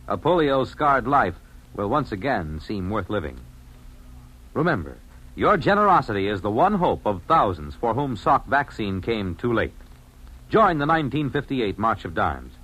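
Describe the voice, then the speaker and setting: Husky 1950s American radio announcer man. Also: mid-range voice, a bit bright, low-quality recording. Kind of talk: scripted 1950s radio announcing and charity appeal. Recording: vintage 1950s radio broadcast.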